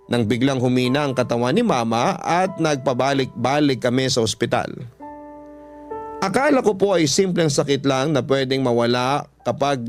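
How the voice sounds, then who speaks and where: A man with a Filipino accent reading a first-person story as scripted dramatic radio narration. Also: medium pitch. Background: background music.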